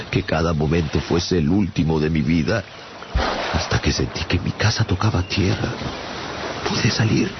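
A male actor playing an afflicted castaway traveler who tells his story earnestly and dramatically in a gravelly voice, in a radio drama. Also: rumbling low, background noise. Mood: tense, recounting. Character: afflicted English castaway man recounting his strange voyages. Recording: dull sound under prominent music. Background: music.

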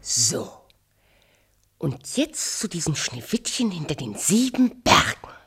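Wicked fairy-tale queen for radio play: vain, commanding, scheming, big melodic swings, measured pace. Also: deep voice, big pitch swings, fairly bright tone, full character voice. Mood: indignant. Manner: theatrical, commanding, swinging between outcry and hiss.

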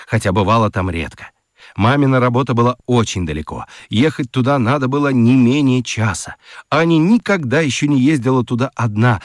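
A man narrating a children's radio story expressively, with theatrical emphasis and dramatic pauses. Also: medium pitch, no room sound, clean studio sound. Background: music.

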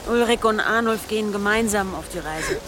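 An actress playing a resolute, grieving leader of a pagan band in a German audio drama, solemn and commanding. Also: female voice, mid-range voice, normal speed, noisy background, close to an everyday voice. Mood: solemn, firm.

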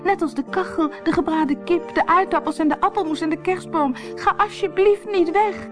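A woman's voice: extremely high pitch, normal speed, voice pushed up. A woman voicing a poor, freezing little girl in a fairy tale, with a pleading, wide-eyed manner. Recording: music behind the voice.

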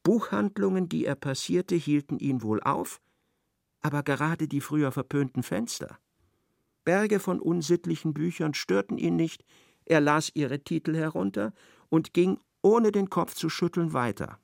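A man's voice: fairly high pitch, unhurried, creaky, a little mellow, no echo, clean studio sound. A man reading scripted literary narration as the narrator of a radio play.

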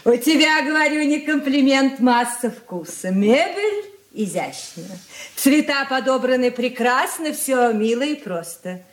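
A woman's voice: high-pitched, animated, a little slow. An actress playing an effusive, genteel middle-aged mother of the bride in a stage-style radio drama, with an affected, gushing manner. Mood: bossy, affable. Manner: gushing, affected, hesitant filler words. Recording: roomy studio echo.

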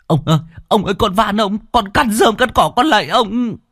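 A beaten peasant man begs and wails for mercy, his voice straining high, as a male storyteller puts him on. The lines rise and fall in broken pleas. The sound is close and dry. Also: expressive, a little slow, no room sound. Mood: wailing. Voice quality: high whimpering plea. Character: beaten, groveling young peasant man, pleading.

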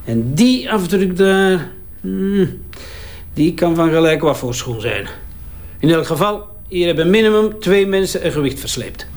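An actor playing a talkative, sardonic crime-scene investigator with a Flemish accent and a wry, bantering way of talking. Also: male voice, sits high, expressive, unhurried. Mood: busy, matter-of-fact. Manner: wry, bantering, hesitating as he examines. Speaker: forensic investigator. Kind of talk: naturalistic audio crime drama acting.

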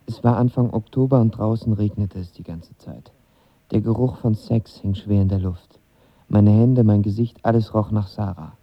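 A man's voice: on the low side, normal speed, dry recording. An actor playing a lovestruck, impulsive young man who narrates his romance in an intimate, reflective audio drama style. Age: young adult. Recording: dull studio sound with music behind.

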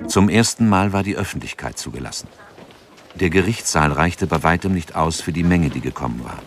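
An actor narrating a scripted radio drama in a sober, factual reporting manner. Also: male voice, low voice, animated, brisk, faint music behind.

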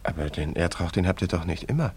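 A man's voice: low voice, lively intonation, quick pace, darkish tone, breathy edge, exaggerated voice. A man acting in a German radio play as a curious, friendly young travelling painter, polite and full of wonder. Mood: puzzled, curious.

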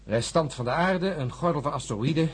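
A man's voice: a little high, a little fast, slight background noise, close to an everyday voice. An actor playing a jaded, stubborn male astronaut in a science fiction radio drama, speaking insistently and grimly certain of doom.

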